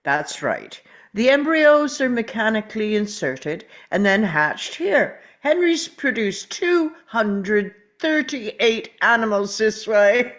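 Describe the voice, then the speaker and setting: Woman acting proud park founder, genial showman, reassuring, unhurried delivery, very lively intonation, table read drama. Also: fairly high pitch. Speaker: amateur actor at a table read. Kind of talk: script read-through acting.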